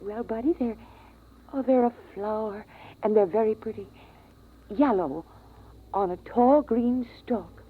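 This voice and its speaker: An actress playing a fragile, distraught mother with an American accent in a 1950s radio drama, halting and dreamy as she clings to memories. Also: fairly high pitch, breathy edge. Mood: wistful, yearning. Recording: muffled, tinny vintage radio recording.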